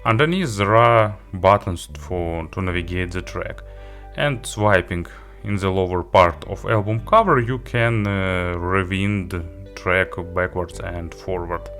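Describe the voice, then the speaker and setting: A man giving a product review walkthrough, halting a little as he lists features item by item. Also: low-pitched, a bit bright, soft background music. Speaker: tech reviewer demonstrating an audio player.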